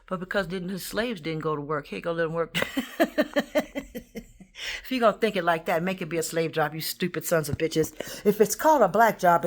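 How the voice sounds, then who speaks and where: A woman ranting in a rambling, profane monologue, swinging between loud outbursts and low muttering. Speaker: vlogger.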